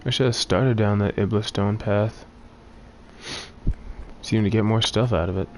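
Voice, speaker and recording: A man's voice: fairly low pitch, fairly even intonation, measured pace, a little hiss or hum, low-quality recording. A man giving casual, off-the-cuff commentary as he plays a video game, speaking close to the microphone.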